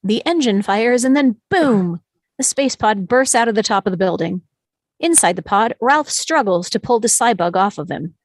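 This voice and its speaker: A woman reading scripted stage directions as narrator at an animated film table read. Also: medium pitch, normal speed, dry recording, studio quality.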